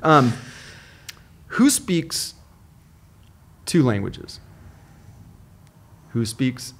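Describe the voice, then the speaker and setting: A man hosting a podcast interview in a relaxed, upbeat, chatty way, joking with his guests. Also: medium pitch. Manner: upbeat, chatty, quick to joke. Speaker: podcast host. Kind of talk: casual interview chat.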